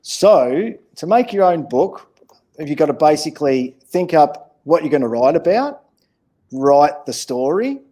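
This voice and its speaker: Mellow Australian man, slightly high-pitched voice. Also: very animated, unhurried. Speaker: children's author, interview guest. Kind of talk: casual interview for children.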